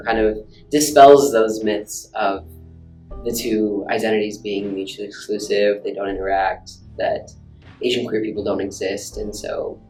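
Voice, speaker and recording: A student from Alaska speaks in a low voice, thinking aloud with frank reflection. The room rings with echo, and soft guitar music plays faintly underneath. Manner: thoughtful, searching, frank. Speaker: student and activist. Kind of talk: personal interview.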